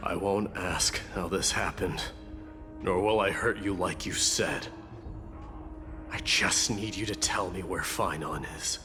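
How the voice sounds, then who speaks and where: A male actor playing a stoic, proud young warrior prince in a video game, speaking in a measured, formal, brooding manner. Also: deep voice, over music. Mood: restrained. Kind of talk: scripted video game voice acting.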